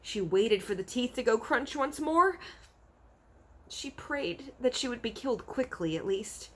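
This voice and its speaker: A woman reading a children's book aloud as an expressive storyteller. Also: fairly high pitch, unhurried, very noisy.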